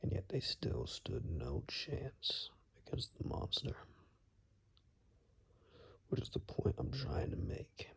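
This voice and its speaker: A man playing a weary, rugged monster hunter in an ASMR roleplay, grave and halting, in a gravelly, breathy near-whisper. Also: medium pitch, big pitch swings, a bit bright, full character voice. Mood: grave, warning.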